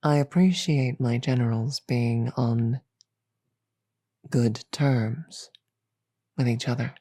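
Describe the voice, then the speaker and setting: A woman voicing a regal, teasing, magnanimous demon queen in a roleplay audio drama, with a deliberate, amused drawl. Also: very deep voice, no echo, full character voice. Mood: warm, magnanimous. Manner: slow, deliberate, amused drawl with soft chuckles.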